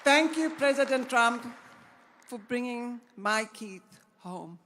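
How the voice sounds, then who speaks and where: A woman's voice: on the high side, animated, takes it slowly, crowd noise, noisy background. An older woman giving an emotional speech of thanks, pleading and repeating her words. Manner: pleading, repetitive. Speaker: hostage's wife giving a speech. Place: large banquet hall.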